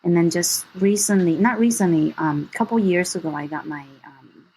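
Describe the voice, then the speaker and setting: Woman, low voice, gravelly, hesitant. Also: normal speed, mellow, poor audio. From Taiwan. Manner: hesitant, searches for words. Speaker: guest, assistant professor.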